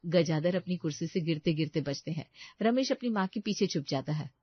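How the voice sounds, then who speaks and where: A woman's voice: fairly low pitch, animated, a little fast, breathy edge, no echo, highs cut off. A woman narrating a scripted cartoon story.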